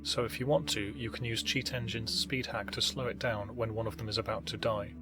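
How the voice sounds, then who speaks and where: A man giving scripted, measured instructional narration as he explains how a game is played. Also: on the low side, a bit bright. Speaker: narrator of a gaming tutorial.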